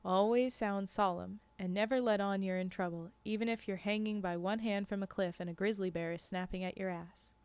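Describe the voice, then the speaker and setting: A woman's voice: medium pitch, steady tone, brisk, hardly any pauses, a little mellow, smooth, no room sound, natural voice. Storybook lodge keeper, male, read by a woman: mock-solemn, sly, clipped broken English, close dry sound. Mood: sly advising. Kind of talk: storybook character reading.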